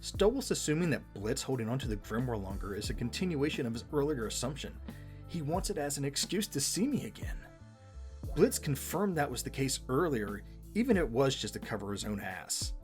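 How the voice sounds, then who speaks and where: A man's voice: medium pitch, big pitch swings, a little fast, soft background music. A man giving scripted back-and-forth commentary as co-host of a fan show.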